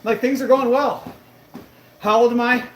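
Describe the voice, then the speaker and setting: A man's voice: very high-pitched, steady tone, moderate pace. A man in his late thirties, livestreaming while on a treadmill, brash and boastful, a bit out of breath. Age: 30s. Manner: boastful and brash, swears casually. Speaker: fitness livestreamer. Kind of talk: casual livestream chat reading comments. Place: gym on a treadmill. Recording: echoey gym room with faint noise.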